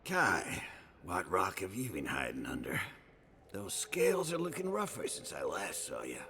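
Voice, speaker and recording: Casting: sick old hero storyteller for fantasy game, deep and bright-edged, weary braggart. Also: male voice, wildly swooping pitch, a little slow, a little hiss or hum, heavily acted. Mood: grumbling. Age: older. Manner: rambling, boastful storytelling. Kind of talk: fantasy video game acting. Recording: music behind the voice.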